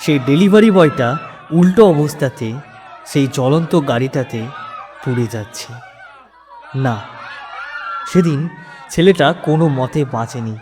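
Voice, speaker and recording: A man's voice: a little high, animated, moderate pace, pauses often, darkish tone, some chatter behind. A man narrating a tragic story in a dramatic, scripted storytelling style. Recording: noisy recording with long hall reverb.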